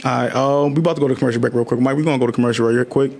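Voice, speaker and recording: A man co-hosting a talk show, arguing his point fast and heatedly in casual slang. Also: medium pitch, steady tone, creaky, a little mellow, slight background noise. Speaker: podcast co-host. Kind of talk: casual debate chat.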